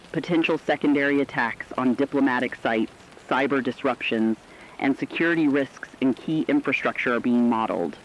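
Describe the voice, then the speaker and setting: A man's voice: medium pitch, dull audio, a little hiss or hum, lightly acted. A man voicing a serious news analyst in a radio crisis broadcast, explaining events in formal scripted speech.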